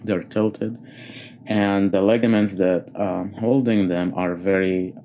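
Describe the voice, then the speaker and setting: Deep-voiced Egyptian-born man, thoughtful. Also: fairly even intonation, slow, dark tone, a little hiss or hum. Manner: thoughtful, with many fillers and restarts. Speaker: guest, concert pianist. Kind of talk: interview answers.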